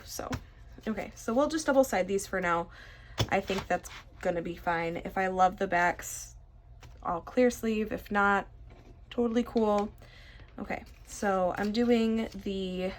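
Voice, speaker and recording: A young woman with a stuffy, congested voice talks through a casual vlog, rambling and poking fun at her own lack of organization. Her pitch sits in an ordinary middle range. A faint hiss of noise sits under the recording. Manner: rambling, self-deprecating, chatty. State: stuffy nose. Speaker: vlogger. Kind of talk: casual chat while sorting cards.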